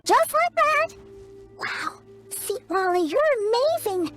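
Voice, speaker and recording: Tiny fairy girl, extremely high squeaky voice, animated swings, excitable and naive, video game character, music behind. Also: slow, full character voice. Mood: amazed, curious. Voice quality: squeaky, childlike. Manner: exclamatory, naive, refers to self in third person.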